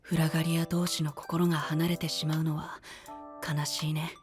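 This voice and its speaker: An actor playing a kindly, placid young knight with a soft, thoughtful, gently teasing manner in an anime-style audio drama. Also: male voice, a little high, little pitch movement, moderate pace, natural voice. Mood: calm, caring. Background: music.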